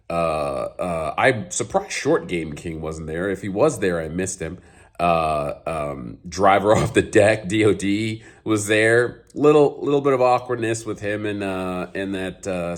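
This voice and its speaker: A man in his thirties talks casually to camera from his garage, his voice a little scratchy from a cold. He rambles with plenty of ums and restarts, in a somewhat low register. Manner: rambling, many fillers and restarts. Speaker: golf YouTuber. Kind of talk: casual vlog commentary. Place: home garage.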